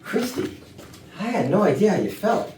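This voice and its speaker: A woman's voice: medium pitch, very animated, moderate pace, dark tone, natural voice. A woman playing an awkward, hesitant, stammering male office worker in a staged comedy. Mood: flustered. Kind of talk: staged reading of murder mystery comedy. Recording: echoey room, distant mic, faint noise.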